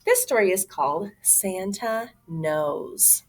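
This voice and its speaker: A woman reading a children's storybook aloud in an expressive, dramatic way, like a teacher to young listeners. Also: medium pitch, slow pace. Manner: dramatic, exclaiming.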